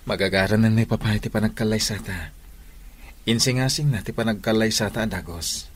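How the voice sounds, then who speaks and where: A man's voice: lowish voice, fairly quick, slightly rough. A man with an Ilocano accent playing an earnest young groom in a radio drama.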